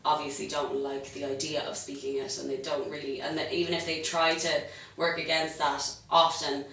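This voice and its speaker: Irish woman, young comedian guest, bright and chatty, roomy sound from off-mic. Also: low-pitched, little pitch movement, moderate pace, distant mic, a little hiss or hum. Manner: chatty, laughs often, self-deprecating. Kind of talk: casual interview chat.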